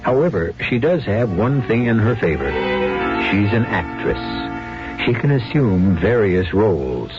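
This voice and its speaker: Casting: man as mystery radio host, deep-toned, lively intonation, confiding theatrical narration over clear music. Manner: deliberate, confiding storyteller. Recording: dull 1970s radio recording.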